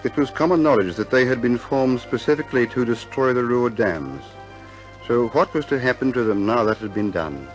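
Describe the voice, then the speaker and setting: A man's voice: mid-range voice, animated, moderate pace, soft background music, lightly acted, rough recording. A man narrating a scripted radio war drama as its composed author.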